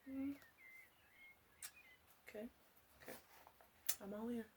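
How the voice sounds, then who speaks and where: Woman playing a slow, softly teasing witch. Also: on the high side, fairly even intonation, background noise. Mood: teasing curiosity. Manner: hesitant, halting, softly teasing, often dropping into whispers. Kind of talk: ASMR roleplay.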